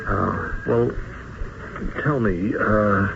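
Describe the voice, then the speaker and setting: A man's voice: fairly low pitch, drawn-out words, vocal fry, exaggerated voice. An actor playing a meek, kind-hearted male banker in an old-time radio drama, stammering and apologetic. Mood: hesitant, giving in. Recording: dull, noisy radio broadcast tape.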